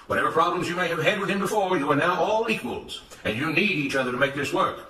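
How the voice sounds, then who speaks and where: A man voicing a smooth-talking, self-righteous plantation overseer in a storybook, speaking in a declamatory, emphatic way. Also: on the high side, expressive, fast talker, sharp tone, reverberant, distant mic, pitched above the performer's own voice, rough recording. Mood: grand, triumphant. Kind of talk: dramatised storybook narration.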